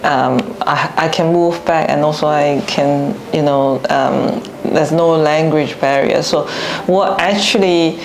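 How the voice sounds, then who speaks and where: A woman hosting a casual interview, putting questions in halting, conversational phrases. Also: deep voice, darkish tone. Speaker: interviewer, host. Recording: roomy echo, clear background noise.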